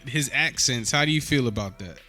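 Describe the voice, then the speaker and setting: A man hosting a casual podcast interview, upbeat and full of quick "word" and "yeah" replies. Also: medium pitch, pushed and bright, soft background music. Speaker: podcast host and DJ.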